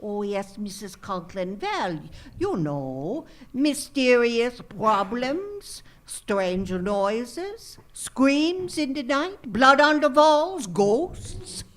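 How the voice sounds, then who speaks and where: Woman acting as a prim, slow-talking ominous hotel hostess. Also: on the high side, big pitch swings, heavily acted. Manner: prim, politely ominous, deliberate. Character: formal, morbidly prying married woman hotel hostess. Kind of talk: live stage radio comedy acting.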